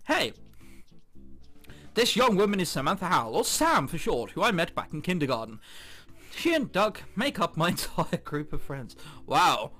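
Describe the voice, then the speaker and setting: Excitable high-pitched man with wildly swinging delivery. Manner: excitable, giggly, exaggerated reactions. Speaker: video game commentator. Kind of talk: let's play commentary, reading visual novel text aloud. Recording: background music under the voice. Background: game music.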